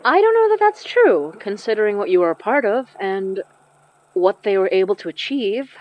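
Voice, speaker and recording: A woman's voice: medium pitch, very animated, moderate pace, dry recording, natural voice. Young sorceress played by a woman, wry, protective, determined, everyday conversational delivery, close dry slightly dull recording. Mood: guarded. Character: Mari, young woman spell caster, steady, wry, protective. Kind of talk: audio horror drama acting. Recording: dry, slightly muffled studio.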